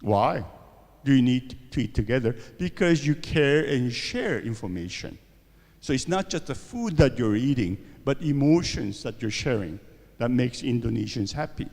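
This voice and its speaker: A man's voice: mid-range voice, expressive, normal speed, vocal fry, a little mellow, long reverb tail. A man giving a university seminar lecture, asking rhetorical questions and often checking in with "okay?" and "right?". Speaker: seminar speaker.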